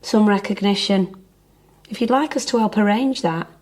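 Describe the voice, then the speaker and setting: An actress playing a tactful, practical estate adviser with a polite, matter-of-fact manner, in a radio drama. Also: female voice, mid-range voice, normal speed, a little mellow, lightly acted. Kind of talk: naturalistic radio drama acting.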